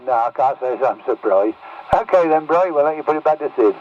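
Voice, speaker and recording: A man's voice: a little high, expressive, fairly quick. A man, a radio operator, chatting casually over a CB radio transmission. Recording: muffled, very noisy CB radio.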